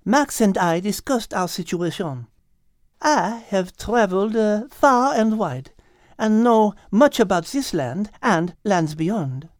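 A man's voice: high-pitched, lively intonation, slow, no room sound, clean studio sound, voice pushed up. A man voicing a refined, knowledgeable female cat with a French accent and precise, formal wording, in an audiobook. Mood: composed, reasoning. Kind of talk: audiobook character acting.

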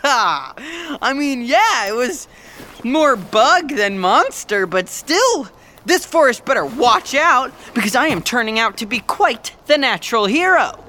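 A man's voice: very high voice, big pitch swings, takes it slowly, bright, forceful tone, slight background noise, heavily acted. A young man acting as an eager, naive seventeen-year-old prince in an audio drama, exclaiming and talking to himself with melodramatic exasperation. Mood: triumphant.

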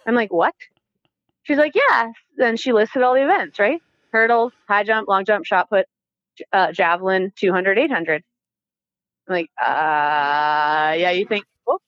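Animated Canadian woman, slightly muffled sound. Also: medium pitch, a little slow. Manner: animated, informal, acts out quoted dialogue. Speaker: interview guest, former Olympic athlete. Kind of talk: casual conversational storytelling.

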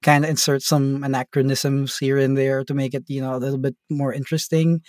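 A man's voice: medium pitch, a little fast, no echo, studio quality. A man from the Philippines, a tabletop game master, talking casually as an interview guest with lots of fillers and tag questions. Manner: rambling, relaxed, many fillers and tag questions.

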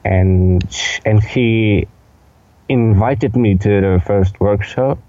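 An eighteen-year-old man with a Polish accent, giving casual interview answers in a hesitant, halting way with repeated words. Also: on the low side, warm tone, no echo, highs cut off. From Poland. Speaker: podcast guest, dance instructor.

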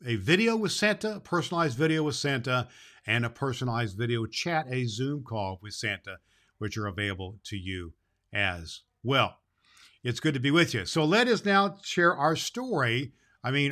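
A man's voice: mid-range voice, pushed and bright. A man playing a jolly, kindly old Santa Claus who hosts a children's show, speaking affectionately and folksily and stumbling a little over his words. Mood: cheerful, chatty. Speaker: host and storyteller of a children's show.

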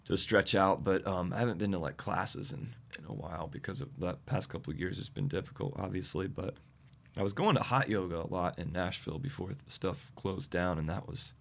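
Male musician guest, very low and gravelly, voice a bit scratchy and worn, rambles with fillers. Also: fairly bright tone. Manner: rambling, halting with many fillers. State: slightly scratchy, tired voice. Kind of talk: casual interview chat.

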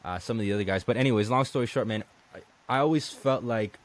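A man's voice: on the low side, quick pace. A man from Colombia hosting a podcast, chatting casually and restarting his sentences often. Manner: conversational, many restarts and "man". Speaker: podcast host. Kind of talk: casual interview chat.

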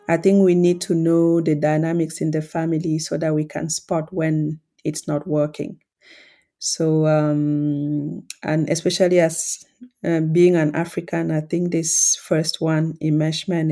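A woman with a low voice talks calmly and thoughtfully to her podcast listeners. She keeps her tone warm and mellow and her pitch even, with little rise or fall. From Cameroon. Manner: calm, reflective, explanatory. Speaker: podcast host and coach. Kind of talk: solo podcast talk.

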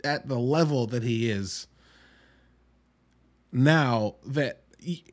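A man hosting a podcast, giving an informal, wry solo review in an emphatic, halting way with drawn-out words. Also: mid-range voice. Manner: informal, wry, mildly profane. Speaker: podcast host reviewing films. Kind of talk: casual solo review monologue.

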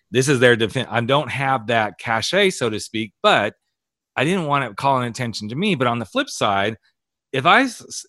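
A middle-aged man hosting a casual conversation, candid and animated, acting out the dialogue he quotes. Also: mid-range voice, dry recording, studio quality. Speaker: podcast host and author. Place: US West Coast.

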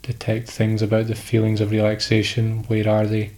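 A man leads a guided sleep meditation. His voice sits on the low side and stays extremely level, with almost no melodic movement. He gives calm instructions in short phrases, leaving pauses between them.

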